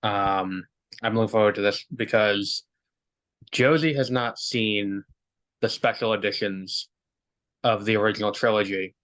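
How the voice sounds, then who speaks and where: A man's voice: lowish voice, steady tone, measured pace, pauses often, a bit bright, poor audio. A young man hosting a podcast in casual chat over a remote call, rambling with lots of fillers and restarts. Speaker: podcast host.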